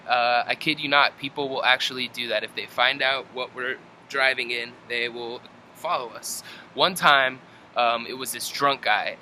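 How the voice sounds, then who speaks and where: A man's voice: mid-range voice, bright, forceful tone, slight background noise, low-quality recording. A man in his twenties, a band vocalist, chatting casually to fans in a laid-back, slangy way with some swearing. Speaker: metal band vocalist answering fan questions. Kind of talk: casual vlog chat. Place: indoors at home.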